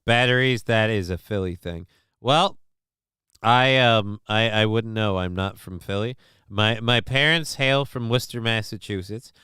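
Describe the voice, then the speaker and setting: A man's voice: on the low side, a little slow, sharp tone, clear, resonant tone, no echo, studio quality. A man from Minnesota hosting a casual live show, rambling and swearing while he answers the chat, with lots of restarts and fillers.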